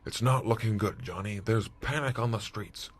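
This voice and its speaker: A man playing a blunt, callous military commander with a husky voice and clipped manner in a retro sci-fi radio drama. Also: lowish voice, moderate pace, natural voice. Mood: urgent. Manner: clipped, military, matter-of-fact. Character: blunt, callous male space-force commander.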